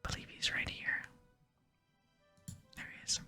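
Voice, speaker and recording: A person whispering softly while narrating a video game playthrough and reading its dialogue aloud in ASMR style. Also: unhurried. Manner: whispers throughout. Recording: music behind the whisper. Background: soft music.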